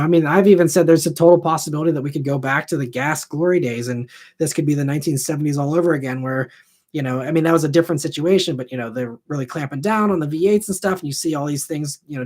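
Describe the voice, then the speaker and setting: Man, 30s, live-stream host, casual, rambling, filler-heavy, a bit higher pitched. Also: fast talker, darkish tone. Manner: rambling, heavy on fillers like "you know" and "I mean". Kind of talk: live stream chat answering viewer questions.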